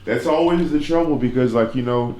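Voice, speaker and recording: A man's voice: mid-range voice, a little fast, dark tone. A man hosting a casual interview, talking in an informal, slangy and profane way. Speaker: host interviewing musicians. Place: living room of a villa. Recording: echoey room.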